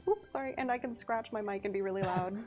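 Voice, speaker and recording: A woman's voice: medium pitch, fast, a little mellow, faint music behind. Upbeat woman presenting a game livestream. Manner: upbeat, giggly, reads skill details steadily. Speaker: community manager.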